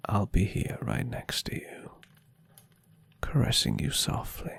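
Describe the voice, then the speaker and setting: A man plays a centuries-old vampire boyfriend for intimate ASMR. His low voice is very breathy and husky, often close to a whisper. He murmurs slowly and tenderly, adoring and playfully teasing. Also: wildly swooping pitch, exaggerated voice. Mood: relaxed, affectionate. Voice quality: breathy, husky half-whisper with gravelly creak. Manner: soft, soothing, affectionate murmuring.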